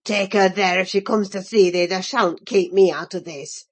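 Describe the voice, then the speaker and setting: A woman's voice: medium pitch, fairly even intonation, moderate pace, voice pushed down, natural voice. A woman doing a snarling young brute. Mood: furious. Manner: snarling, nearly inarticulate. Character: furious young man, rough and violent.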